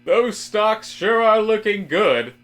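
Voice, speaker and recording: A man playing a polite, bland office worker in a deadpan comic audio drama, speaking hesitantly. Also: high voice, voice pushed up, heavily acted. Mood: stiffly cheerful. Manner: hesitant, halting, with many fillers. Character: George, polite, bland office man turning earnestly philosophical.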